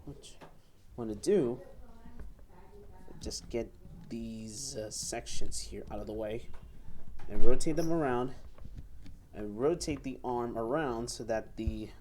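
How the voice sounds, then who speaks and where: A young man giving step-by-step hands-on instructions as a toy reviewer, haltingly, often repeating and correcting himself. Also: medium pitch, mellow, slight background noise. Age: young adult. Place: small room at home, indoors.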